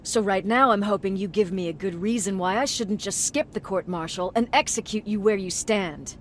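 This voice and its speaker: A woman's voice: mid-range voice, normal speed, slight background noise, close to an everyday voice. A woman playing a stern, contemptuous resistance field commander in a video game, speaking with intimidating sarcasm. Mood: cold sarcasm. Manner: sardonic, intimidating. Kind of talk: video game dramatic acting.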